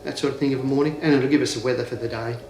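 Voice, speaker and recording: Man householder, relaxed and chatty, roomy sound. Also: medium pitch, very fast. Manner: easygoing, chatty. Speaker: householder interviewee. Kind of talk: casual interview answers. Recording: echoey room.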